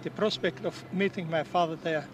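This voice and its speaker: Old austrian-born guy, 70s, halting and earnest, slightly high voice, mic kinda far off outside. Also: fairly even intonation. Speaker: war veteran interviewee. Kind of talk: outdoor interview, recounting memories. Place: outdoors at a public ceremony. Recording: distant mic, noisy outdoors.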